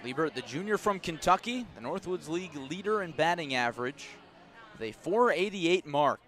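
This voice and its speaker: A male baseball radio announcer with a somewhat high-pitched voice calls a game from an outdoor ballpark. He describes the scene in careful, measured detail. His delivery is live play-by-play, made punchier for the action. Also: animated, a bit bright. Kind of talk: live sports commentary.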